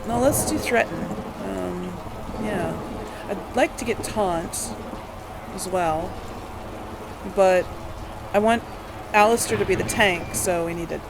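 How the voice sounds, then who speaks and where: A man's voice: sits high, vocal fry, very noisy. A man giving casual gameplay commentary, thinking aloud as he hosts a let's play. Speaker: gamer, let's play host.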